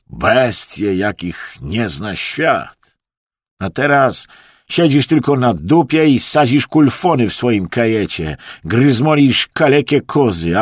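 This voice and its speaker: A man's voice: lowish voice, dry recording, clean studio sound, heavily acted. Man acting out a hysterical, wailing Russian hunter, dragged-out cries. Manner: wailing, theatrical, drawn-out exclamations.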